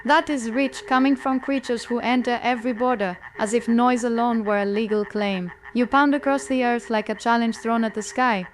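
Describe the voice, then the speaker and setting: An actress playing a sharp-tongued, alert elf archer with formal, controlled speech in a fantasy audio drama. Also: female voice, a little high, steady tone, moderate pace, few pauses, slight background noise, natural voice. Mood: scornful.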